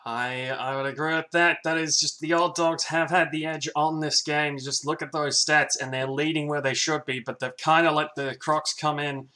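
A man calling a video game football match as play-by-play commentator, building to shouts at big plays. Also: fairly high pitch, moderate pace, few pauses. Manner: excitable, calls plays and shouts at big moments.

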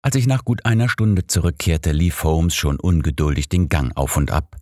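A man's voice: very deep voice, moderate pace, a little mellow, dry recording, studio quality. A man reading a scripted first-person story as an audiobook narrator.

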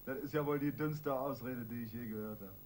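An actor playing a hard, sarcastic police detective who presses a suspect in a radio crime play. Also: male voice, medium pitch, a little fast, darkish tone, a little hiss or hum, lightly acted.

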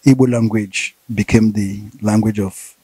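A man's voice: fairly low pitch, fairly even intonation, a little slow, dark tone, breathy edge, dry recording, rough recording. A man in his thirties with a Nigerian accent, hosting a conversational interview with probing, hesitantly phrased questions. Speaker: interviewer, host. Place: outdoors, seated by corrugated metal fence. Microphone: handheld interview mic.

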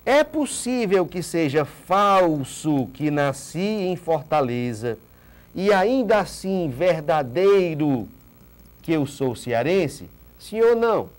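A man's voice: on the high side, takes it slowly. A man in his thirties from northeastern Brazil, giving a recorded exam-prep lecture in a studio and answering his own rhetorical questions. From Ceará, Brazil. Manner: animated, asks rhetorical questions and repeats key points. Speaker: teacher. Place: green studio set.

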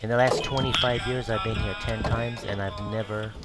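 A man's voice: lowish voice, fairly even intonation, normal speed, loud music behind the voice. A man narrating a fishing vlog in short, clipped, informal phrases with a promotional bent. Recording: loud outdoor water and boat noise.

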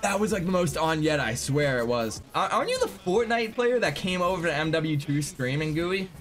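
A young man in his twenties live-streaming a game from his home setup, chatting excitably with viewers, swearing freely and going hoarse. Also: a little high, moderate pace, faint music behind. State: losing his voice. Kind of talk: live gaming stream chat. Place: home gaming room.

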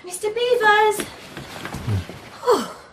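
A woman acting in a radio play as a lively, kind, forthright teenage girl. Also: very high-pitched, extremely slow, slight background noise, exaggerated voice. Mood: kind, teasing. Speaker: actor in an audio play. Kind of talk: British radio drama acting.

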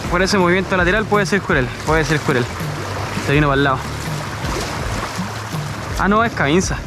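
High-pitched, excitable Chilean man over loud music. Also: a little fast. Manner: excited, slangy, repeating short phrases. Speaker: fishing vlogger. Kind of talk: casual vlog chat while fishing. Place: outdoors on a rocky seashore. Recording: loud music behind the outdoor voice.

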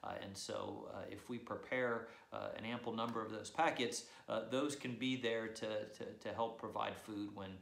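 A man's voice: lowish voice, steady tone, normal speed, creaky, few pauses, fairly bright tone. A man, a priest, giving church announcements from his living room, hesitant with many fillers. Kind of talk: church announcements and opening prayer. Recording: echoey room.

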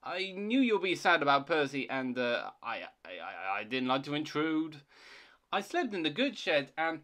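A man's voice: a little high, sing-song, slow pace, sharp tone, heavily acted. A man voicing a proud, impatient male tank engine in a children's story, blustering and exclamatory. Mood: flustered.

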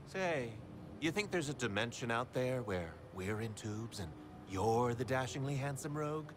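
A man's voice: medium pitch, expressive, moderate pace, background noise, close to an everyday voice. An actor playing a flamboyant, flippant male rogue in a video game, wry and theatrical.

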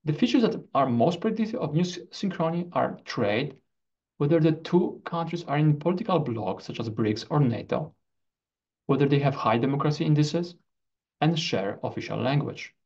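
Male researcher, fairly high voice, formal scripted presentation read deliberately, dull computer-mic sound. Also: animated, gravelly, a little mellow. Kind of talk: scripted academic video presentation.

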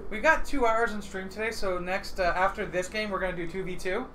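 A man's voice: sits high, a little fast, a bit bright, low-quality recording. A man hosting casually, explaining rules and calling out his moves in a board game. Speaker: host teaching a board game. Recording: noticeable room noise behind voices.

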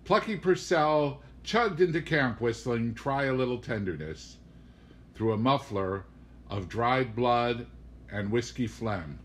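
A man's voice: medium pitch, animated, slow. A man reading a comic literary novel aloud as scripted audiobook narration. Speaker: audiobook reader.

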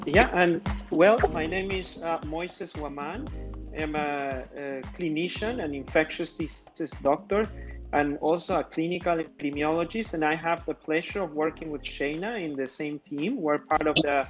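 A man in his forties with a Peruvian Spanish accent, explaining things in halting phrases as a doctor being interviewed. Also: fairly high pitch, poor audio. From Peru. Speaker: interview guest, infectious diseases doctor. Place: indoor room, video call. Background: music.

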